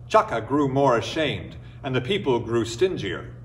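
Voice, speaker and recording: A man reading a folktale as a storyteller, with expressive, dramatic and measured phrasing. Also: mid-range voice, distant mic, slight background noise. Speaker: storyteller, reader. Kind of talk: expressive scripted folktale narration.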